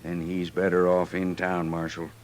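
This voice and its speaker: An American actor playing a hard, self-satisfied, sharp-dealing cattle baron in an old-time radio western. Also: male voice, sits low, completely flat delivery, normal speed, lightly acted. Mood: smug, unyielding.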